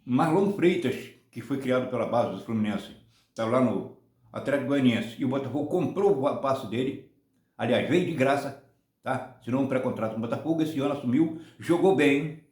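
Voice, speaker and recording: A man in his seventies from Brazil, rambling through casual football commentary on his own channel, often ending points with a quick "tá?". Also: medium pitch, lively intonation, a little mellow, roomy sound. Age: 70s. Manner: rambling, many restarts and tag "tá?".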